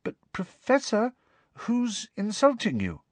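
A man voicing a timid, concerned teenage schoolgirl in a storybook, hushed and tentative. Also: sits high, wildly swooping pitch, takes it slowly, dry recording, voice pushed up, exaggerated voice.

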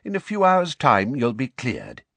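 A man's voice: mid-range voice, wildly swooping pitch, a little slow, fairly bright tone, breathy edge, no echo, voice pushed up, full character voice. A man voicing a kindly, enthusiastic middle-aged wizard father in an audiobook, talking in a bracing, encouraging way. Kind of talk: audiobook character voice.

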